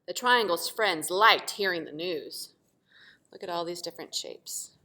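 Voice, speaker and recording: A woman teacher reading a storybook expressively to children, pausing to explain simply and ask questions. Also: fairly low pitch. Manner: animated, asks the listeners questions.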